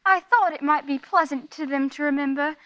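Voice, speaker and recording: A woman voices a frail, sweet-natured small boy in a Victorian radio play. The voice is high, with a pious innocence. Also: fairly quick, fairly bright tone, no echo, muffled recording. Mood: earnest.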